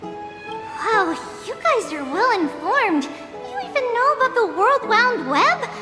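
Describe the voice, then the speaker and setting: Game sprite girl, tiny, bubbly, very high-pitched, echoing, loud music behind. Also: sing-song, slow, full character voice. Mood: chatty, puzzled. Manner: bubbly, childlike. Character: tiny winged childlike girl, chirpy and quaintly old-mannered. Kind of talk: video game voice acting. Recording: echoey hall, loud music underneath.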